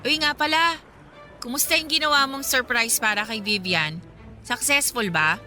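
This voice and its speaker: Very bright, teasing young woman. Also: on the high side, normal speed, faint music behind. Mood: chatty, curious. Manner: teasing, chatty. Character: friendly teasing young woman, secretly fond of her best friend. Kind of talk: Filipino radio drama acting.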